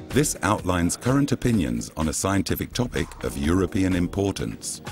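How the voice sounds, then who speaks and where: Deep-voiced man narrating a documentary. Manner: measured, formal and informative. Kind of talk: scripted promotional voice-over. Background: music bed.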